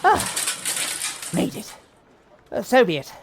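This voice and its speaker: A man's voice: sits high, wildly swooping pitch, slow pace, creaky, background noise, heavily acted. A man playing a pompous, gung-ho medieval knight who speaks in mock-archaic style in a comic audio drama. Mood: undaunted bravado.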